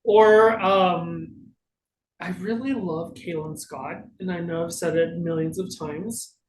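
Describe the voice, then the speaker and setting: A man's voice: fairly high pitch, steady tone, slow pace. A man co-hosting a casual question-and-answer chat in a hesitant, self-deprecating way. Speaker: podcast co-host. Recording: echoey room, faint noise.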